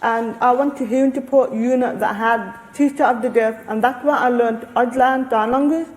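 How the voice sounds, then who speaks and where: A woman speaking on a panel as a researcher, thoughtful and halting, often restarting her sentences. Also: fairly high pitch, steady tone. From Australia. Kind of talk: panel discussion answers. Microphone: handheld microphone. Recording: long hall reverb.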